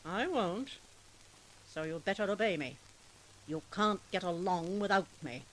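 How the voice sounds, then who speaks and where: A woman's voice: lowish voice, measured pace, close to an everyday voice, rough recording. An actress playing a scheming, domineering woman in an urgent, melodramatic 1940s radio drama. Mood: commanding, urgent. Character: scheming, domineering woman turned terrified victim. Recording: hissy vintage 1940s radio recording.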